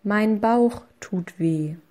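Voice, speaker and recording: A woman reading short phrases slowly and clearly, saying each one twice for learners. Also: medium pitch, dark tone. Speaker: language lesson voice. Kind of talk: slow repeated phrase reading.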